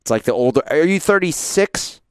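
A man's voice: fairly high pitch, lively intonation, fairly quick, clean tone, no room sound, clean studio sound. A man in his thirties hosting a casual sports radio talk show, enthusiastic and a little rambling. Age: 30s.

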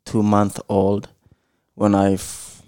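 A man's voice: lowish voice, monotone, slow pace, darkish tone, clear, resonant tone, dry recording. A man in his twenties from Somalia, a podcast guest giving hesitant, short answers with many uhs. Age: 20s. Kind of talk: casual interview answers.

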